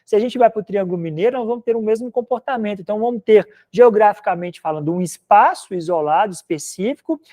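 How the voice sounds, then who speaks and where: Rambling mineiro philosophy teacher. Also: male voice, high-pitched, fast, few pauses, mellow. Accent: Minas Gerais Portuguese. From Minas Gerais, Brazil. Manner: rambling, conversational, frequent "né?" tags. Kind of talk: recorded online class lecture.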